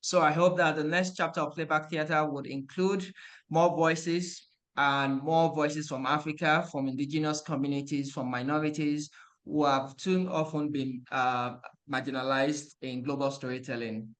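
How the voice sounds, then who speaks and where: A man's voice: on the high side, little pitch movement, moderate pace, a bit bright. A man giving an earnest, formal anniversary speech over a video call.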